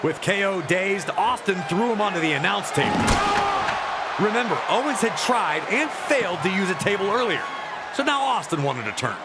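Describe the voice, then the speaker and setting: A man's voice: sits high, sing-song, moderate pace. Emphatic man narrating over a roaring crowd. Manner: emphatic, dramatic storytelling. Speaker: wrestling commentator and narrator. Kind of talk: dramatic sports documentary narration. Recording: loud crowd roar and arena noise. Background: roaring arena crowd.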